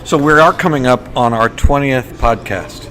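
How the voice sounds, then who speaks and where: A man hosting a podcast in casual chat, enthusiastic and somewhat halting, often repeating words. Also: medium pitch, fairly bright tone. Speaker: podcast host. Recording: faint background noise.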